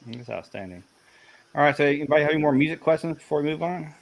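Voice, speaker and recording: A man hosting a casual chat, relaxed and a bit hesitant with fillers. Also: a little high, fast talker, vocal fry, poor audio. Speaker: host.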